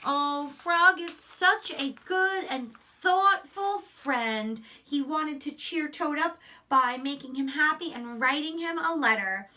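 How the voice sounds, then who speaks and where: A woman teacher talking to young children in an expressive, explanatory way, often checking in with short questions. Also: high-pitched, takes it slowly, far from the mic. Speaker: elementary school teacher. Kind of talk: read-aloud and lesson for young children.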